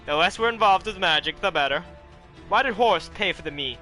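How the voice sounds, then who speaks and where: A man's voice: high-pitched, animated, a little fast, bright, forceful tone, faint music behind, pitched above the performer's own voice. A man voicing a stern, worried middle-aged farmer uncle in a storybook reading, clipped and scolding. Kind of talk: audiobook character voice.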